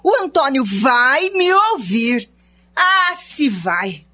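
A resentful, nagging housewife for a radio soap. She complains in a high, very lively voice, stretching her words out, on slightly dull audio. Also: drawn-out words, exaggerated voice. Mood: bitter envy. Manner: bitter, complaining. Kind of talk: melodramatic radio soap acting.

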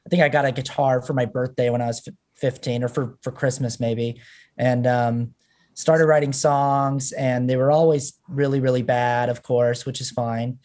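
A man in his thirties chats loosely about his music in a middle-of-the-road voice. He rambles and leans on filler words as the thoughts come. The tone is relaxed and conversational. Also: medium pitch, moderate pace. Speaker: musician guest. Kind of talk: casual podcast chat.

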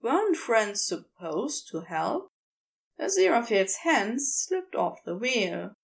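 A woman reads fiction as an audiobook narrator. Her voice sits a little high, with noticeable fry. She takes her time and varies her pitch expressively. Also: sing-song, takes it slowly.

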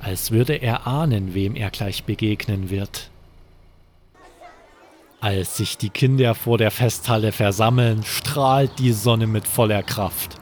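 A man narrating a scripted children's bedtime story in a measured, deliberate manner. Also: fairly low pitch, darkish tone, a little hiss or hum.

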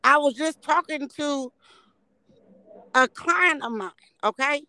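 A woman's voice: sits high, slow, no echo, low-quality recording. A woman in her late thirties from the Bay Area, telling blunt, profane stories in a casual podcast chat. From San Francisco Bay Area, California. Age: 30s. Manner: loud, blunt, profane storytelling. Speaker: podcast guest.

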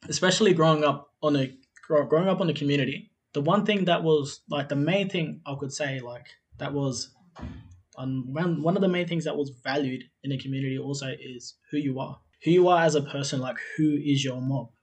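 A man's voice: fairly high pitch, fairly quick. A young Australian man talks as a podcast guest, telling his story casually. His speech wanders, circles back on itself and leans heavily on "like" and "you know." He laughs easily between thoughts. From South Australia. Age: 20s. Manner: rambling, repeats himself, many "like" fillers, laughs often.

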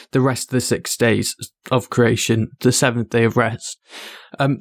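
A man's voice: medium pitch, no echo, clean studio sound. A man from Wales with a Welsh accent, co-hosting a podcast and earnestly explaining a Bible passage in a casual chat. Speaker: podcast co-host.

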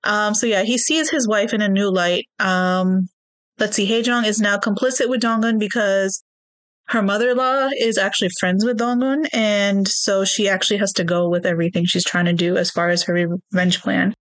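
A woman's voice: medium pitch, steady tone, moderate pace, no room sound. A woman co-hosting a podcast, casually recapping a TV show with lots of ums and hedges. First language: not Korean. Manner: recaps plot in a chatty, hedging way with many ums. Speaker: podcast co-host.

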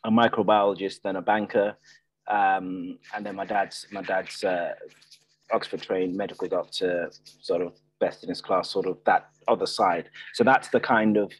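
A man's voice: low voice, fairly even intonation, moderate pace, slightly rough, low-quality recording. A man guest in a casual property podcast interview, talking with frequent fillers and restarts. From Nigeria, Ireland and the UK. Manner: hesitant, many restarts and fillers.

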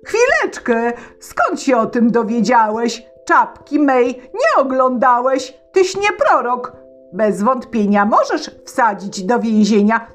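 A woman voicing a frightened young peasant man who begs and argues in a high, strained voice. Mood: defiant. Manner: high, pleading, tearful.

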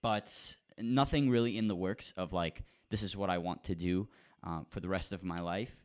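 A teenage boy co-hosting a podcast, talking casually and at length, with frequent restarts, getting animated when he tells a story. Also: low-pitched, moderate pace, smooth. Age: teens. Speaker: podcast co-host. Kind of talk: casual chat.

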